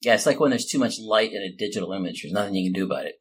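A man with a husky voice, casually interviewing as a podcast host. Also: on the low side, animated, rapid-fire.

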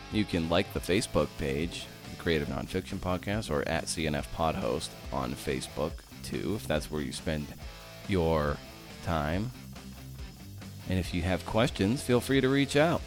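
A male podcast host, worn out after a night shift, talking in a loose, jokey way with many restarts. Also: low voice. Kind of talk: casual podcast interview and outro.

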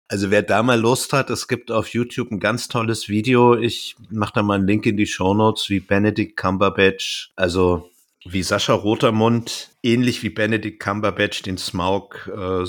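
A man's voice: fairly low pitch, steady tone, moderate pace. A man co-hosting a podcast in a casual, conversational style. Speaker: podcast co-host. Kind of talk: casual podcast talk, presenting a cast list.

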